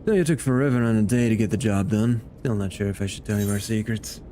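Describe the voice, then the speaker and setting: A man voicing a gruff, suspicious, foul-mouthed chief from a game, scornful and challenging. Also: fairly low pitch, quick pace, warm tone, slight background noise, voice pushed down, lightly acted. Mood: suspicious, testing. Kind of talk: reading game dialogue aloud in character.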